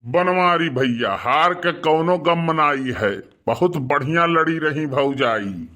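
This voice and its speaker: A man's voice: on the high side, animated, slow, bright, forceful tone, exaggerated voice. A man playing a teasing, sly village sidekick in a cartoon comedy, with a rustic eastern Uttar Pradesh accent. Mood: consoling, teasing. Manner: needling, drawling, rustic. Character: teasing, sly village sidekick who eggs his friend on. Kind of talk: Awadhi cartoon comedy dialogue.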